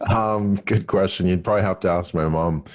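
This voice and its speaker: A Canadian man giving casual interview answers as a guest, talking haltingly and with good humour. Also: low voice, a little mellow, no room sound. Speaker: podcast guest, business mentor and speaker.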